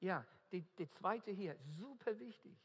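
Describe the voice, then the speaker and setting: An Australian man preaches with earnest emphasis, stumbling now and then over German as a second language. He talks slowly and deliberately. His voice rings out in a large hall with a long, trailing reverb. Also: high-pitched, lively intonation, breathy edge. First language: English. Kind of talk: evangelistic sermon.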